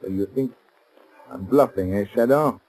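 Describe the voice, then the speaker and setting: A ruthless male gang boss from a 1930s radio serial , acted by a man. His dark, medium-pitched voice gives orders with a sneer and carries steady menace and cunning. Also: moderate pace, close to an everyday voice, low-quality recording. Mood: threatening. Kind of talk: 1930s radio drama acting. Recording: old 1930s radio broadcast.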